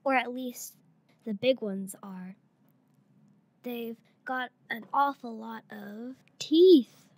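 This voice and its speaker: A girl of about eight or nine, a third-grade pupil, reading a picture book aloud in a halting way. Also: a little high, darkish tone. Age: child, around eight or nine.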